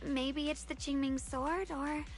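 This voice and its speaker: A woman's voice: sits high, fairly even intonation, measured pace, soft background music. An actress playing an earnest, hesitant young swordswoman in a video game, apologetic and burdened by a solemn other self.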